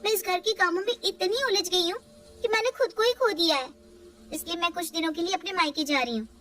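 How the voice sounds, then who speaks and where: A woman's voice: very high voice, fairly quick, sharp tone, slight background noise, voice pushed up. A woman voicing a tired young wife who pleads and insists she needs help at home. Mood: pleading, fed up.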